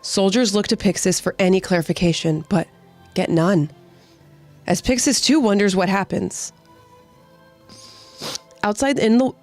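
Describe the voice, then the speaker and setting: A woman's voice: lowish voice, a little mellow. A woman with a slightly nasal voice, co-hosting and narrating on a podcast. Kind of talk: casual chat and scripted narration. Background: background music.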